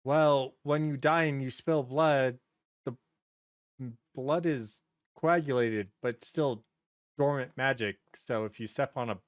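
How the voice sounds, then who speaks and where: A man chatting casually as a podcast host, telling stories in a rambling, excitable way and swearing freely. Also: mid-range voice, unhurried, no echo, studio quality.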